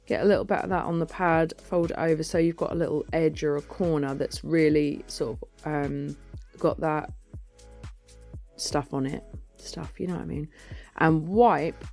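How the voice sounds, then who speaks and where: A woman with a husky voice giving a chatty, informal voice-over for a nail tutorial, recorded on a phone. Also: low voice, darkish tone. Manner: chatty, informal, self-deprecating. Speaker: nail artist and educator.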